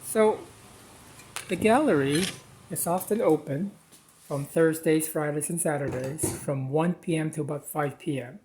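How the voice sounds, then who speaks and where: A man around sixty strolls from the street into a gallery, talking his way through it casually. His voice sits a touch high for a man, with a faint hum of traffic and air conditioning behind him. He rambles in a friendly way, slightly stuffy in the nose, with plenty of ums along the way. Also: measured pace, darkish tone, poor audio. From Philippines. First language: Tagalog. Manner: chatty, discursive, with frequent um and uh. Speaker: art channel host. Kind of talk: casual gallery walkthrough vlog. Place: city street outside, then indoors in a gallery.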